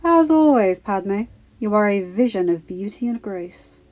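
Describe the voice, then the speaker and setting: A woman voicing a smooth, persistent male senator courting an old flame in an audio story. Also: medium pitch, a little slow, muffled recording, lightly acted. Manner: smooth, flattering.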